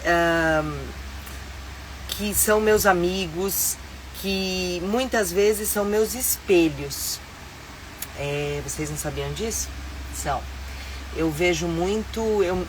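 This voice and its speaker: A woman's voice: on the low side, a little hiss or hum, rough recording. A middle-aged Brazilian woman with a stuffy, nasal voice from a cold, hosting a casual live stream from inside her motorhome. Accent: Brazilian Portuguese. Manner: chatty and upbeat, with frequent fillers. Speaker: live-stream host and tour guide.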